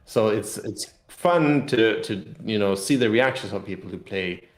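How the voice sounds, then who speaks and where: The recording is roomy and echoing, and the man's voice sits fairly low. He talks casually as a designer being interviewed, feeling his way through explanations. His phrases restart and repeat as he goes. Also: measured pace. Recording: roomy, echoing room sound.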